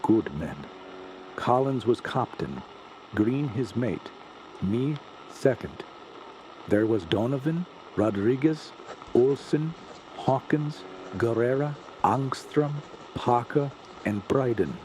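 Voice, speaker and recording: An actor playing a haunted, weakened sailor, speaking halting broken English in a radio drama. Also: male voice, medium pitch, expressive, a little mellow. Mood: grim, weary. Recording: noisy ambient sound effects bed.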